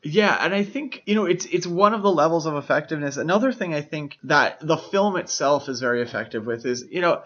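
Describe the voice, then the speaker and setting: A man's voice: a little high, animated, a little fast, few pauses, a bit bright. A man co-hosting a podcast, talking through films in a casual, explanatory way with frequent filler phrases. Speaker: podcast co-host. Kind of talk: casual film discussion. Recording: dull, lo-fi podcast audio.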